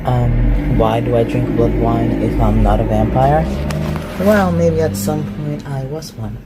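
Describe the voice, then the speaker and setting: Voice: mid-range voice, unhurried, over loud music, close to an everyday voice. A performer in an ASMR roleplay voicing an ancient, reclusive shapeshifter, haughty and awkward, with formal, archaic phrasing. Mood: hospitable, wistful. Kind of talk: ASMR roleplay, scripted one-sided dialogue.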